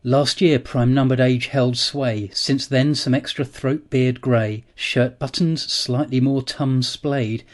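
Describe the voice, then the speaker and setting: A man's voice: medium pitch, fairly even intonation, slow pace, few pauses. Dry man in his forties. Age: 40s. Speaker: guest poet. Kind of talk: reading comic rhyming poems.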